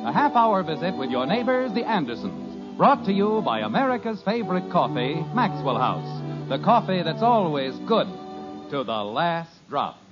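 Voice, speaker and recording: A polished 1950s radio announcer telling the story, his voice lively and pitched a bit high. The old transcription sounds dull, with clear music under him. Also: normal speed.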